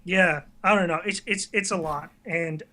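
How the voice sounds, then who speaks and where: A man in his late teens with a high, bright voice talks as a guest in a casual interview. He is candid and self-reflective, often hesitating as he works out what to say. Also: normal speed. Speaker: interview guest, online video creator. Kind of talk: casual chat.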